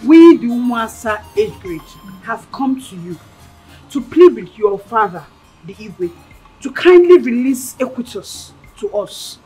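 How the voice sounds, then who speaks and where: A woman's voice: fairly high pitch, very animated, measured pace, mellow, slightly rough, faint music behind, full character voice, rough recording. A woman with a Nigerian accent acting a young village spokeswoman in a screen drama, speaking emphatically for her peers. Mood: formal pleading.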